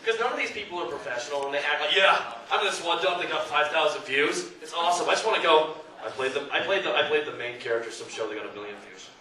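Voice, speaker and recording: A man hosts a casual convention panel, bantering and joking. His voice sits a little high and is heard from well off the mic. The room rings heavily with echo and crowd noise behind him. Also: quick pace, sharp tone, distant mic. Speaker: panel moderator and amateur voice actor.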